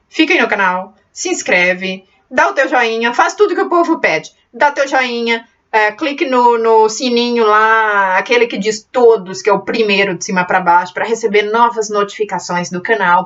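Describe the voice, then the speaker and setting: A woman's voice: a little high, fairly bright tone, recorded from a distance. A woman with a mixed Brazilian and European Portuguese accent, hosting a beginners' computer channel. She talks in a chatty, encouraging way, full of fillers and restarts. The voice sits a little back from the mic in a dull, lo-fi home recording. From born in Brazil, raised in Portugal. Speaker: computer tutorial channel host. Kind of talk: casual talk to camera about her channel.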